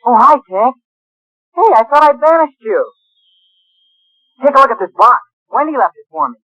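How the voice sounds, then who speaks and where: A woman playing a cocky, stubborn boy in a radio drama, brash and excitable in manner. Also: on the high side, moderate pace, no echo, dull audio. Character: cocky, stubborn magical boy who never grows up.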